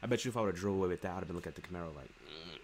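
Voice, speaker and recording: A young American man with a deep voice chats casually through a video game. He rambles in slang, thinking out loud, over clear background noise and car game sounds. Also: very fast. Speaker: gaming streamer. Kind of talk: casual let's play commentary. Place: room at home. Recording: clearly noisy home webcam stream.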